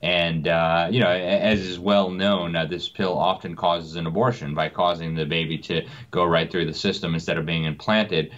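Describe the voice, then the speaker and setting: A man with a very low, deep voice speaks as an interview guest. He sounds indignant and stumbles through frequent fillers and restarts. The audio is dull and lo-fi. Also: steady tone, moderate pace, hardly any pauses, a bit bright. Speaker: guest commentator. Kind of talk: talk show interview.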